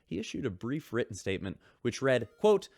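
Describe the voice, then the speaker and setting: A man's voice: medium pitch, sing-song, brisk, creaky, a little mellow, dry recording. A man playing a wry radio news host. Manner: chatty, wry. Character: upbeat male radio news host on a starship. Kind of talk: scripted news narration.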